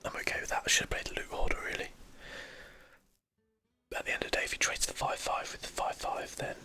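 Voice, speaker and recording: Male, whispered ASMR gaming host, very bright hissy tone, musing to himself, short phrases. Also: fast. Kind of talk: whispered ASMR game commentary.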